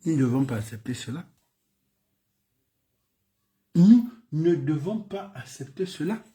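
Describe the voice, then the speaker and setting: A man's voice: on the high side, big pitch swings, measured pace, dark tone. A man from Gabon with a Gabonese French accent, giving an impassioned political speech full of repeated phrases and rhetorical questions. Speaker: political commentator.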